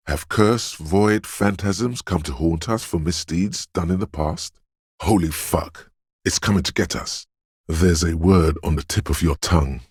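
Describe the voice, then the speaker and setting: A man voicing an eerie, brooding inner voice of intuition and dread in a game, narrating in the second person with a deliberate, portentous, theatrical delivery. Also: rumbling low, darkish tone, husky, no echo, studio quality, heavily acted. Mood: ominous dread.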